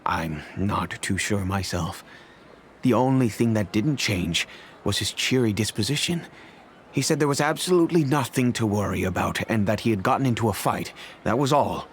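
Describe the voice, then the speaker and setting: Man playing a grave, dignified noble patriarch, low-pitched. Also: lively intonation, slight background noise, natural voice. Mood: troubled, reminiscing. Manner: formal, measured, grave, with pauses. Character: dignified older father, head of a noble family. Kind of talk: video game character dialogue. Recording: music behind the voice.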